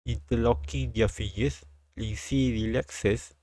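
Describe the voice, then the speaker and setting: A man streaming a live read-aloud of a visual novel, halting and repeating lines as he goes. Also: mid-range voice, fairly even intonation, dark tone, no echo.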